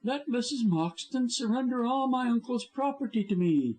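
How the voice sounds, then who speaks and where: A man's voice: very high voice, animated, normal speed, a little mellow, pitched above the performer's own voice. A man reading an audiobook, voicing a scheming male lawyer who sneers and threatens. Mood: threatening.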